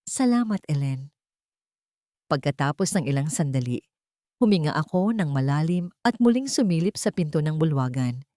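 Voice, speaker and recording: Female narrator for dramatic audio fiction: lower register, measured and theatrical, recorded very dry. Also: lively intonation, mellow, no echo. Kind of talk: first-person dramatic scripted narration.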